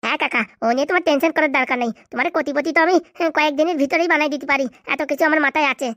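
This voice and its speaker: A woman's voice: sits high, racing, a bit bright, no echo, clean studio sound. A woman voicing a devoted, studious schoolboy nephew in a comedy cartoon, speaking earnestly and with feeling. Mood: eager, reassuring. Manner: earnest, emotional.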